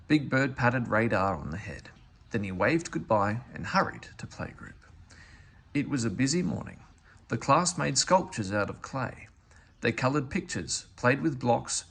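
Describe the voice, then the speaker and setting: A man reading a children's storybook aloud as an expressive narrator. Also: medium pitch, vocal fry, slight background noise. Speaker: storybook reader.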